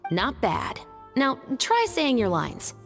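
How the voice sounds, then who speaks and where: A woman voicing a disciplined, serious officer and weapons expert in an anime-style video game, firm, measured and instructive. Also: fairly high pitch, huge pitch swings, soft background music, heavily acted. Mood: instructing.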